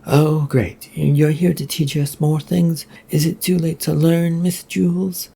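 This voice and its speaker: Narrator as a headstrong young princess, raised pitch, blunt, indignant, fantasy story. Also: level tone, measured pace, gravelly, mellow, husky. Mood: sarcastic.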